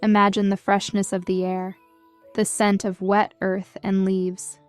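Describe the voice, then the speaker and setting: Female meditation guide, smooth gentle delivery, measured scripted read, dry sound with music underneath. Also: mid-range voice, level tone, dry recording. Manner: soothing, measured, gentle instruction. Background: background music.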